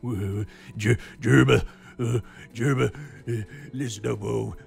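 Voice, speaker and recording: Frantic, very gravelly bear from the future. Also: male voice, mid-range voice, steady tone, slow pace, exaggerated voice. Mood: urgent, flustered. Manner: stammering, urgent, rambling. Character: frantic gruff bear man from a ruined future. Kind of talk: comic in-character recorded plea. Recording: music under the voice.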